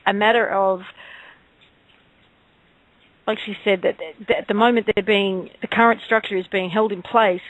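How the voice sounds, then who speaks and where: A woman's voice: medium pitch, dry recording, low-quality recording. A woman speaking as a guest on a talk show, earnestly explaining and answering questions. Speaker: radio show guest, activist.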